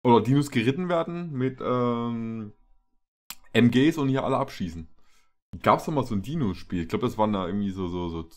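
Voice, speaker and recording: A young man gives casual, jokey commentary as a reaction host. His medium-pitched voice moves up and down a lot, and he often repeats himself as he feels for the next phrase. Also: expressive, a little mellow. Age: young adult. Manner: halting, repetitive, jokey. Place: small indoor room with shelves.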